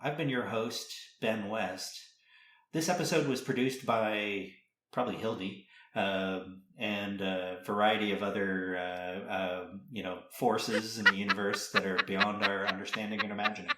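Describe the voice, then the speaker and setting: A man's voice: on the low side, fairly even intonation, unhurried, sharp tone. A man hosting a casual conversational interview podcast, with a hesitant, trailing way of phrasing things. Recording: roomy echo with music behind.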